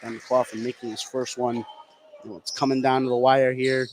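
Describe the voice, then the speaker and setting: A man's voice: mid-range voice, flat delivery, fairly quick, slight background noise, poor audio. A man giving conversational, reflective color commentary and analysis on a live basketball game.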